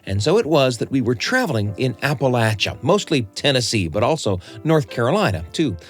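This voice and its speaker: A middle-aged American man hosting and storytelling, speaking in an earnest, deliberate, reflective way. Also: mid-range voice, big pitch swings, soft background music. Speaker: host and storyteller. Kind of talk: reflective conversation and personal storytelling.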